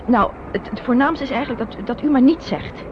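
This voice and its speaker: A woman's voice: high-pitched, a little fast, close to an everyday voice. An actress playing a resourceful, caring young Frenchwoman who takes charge in a wartime radio drama. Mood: brisk, instructing. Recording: dull, noisy old radio play recording.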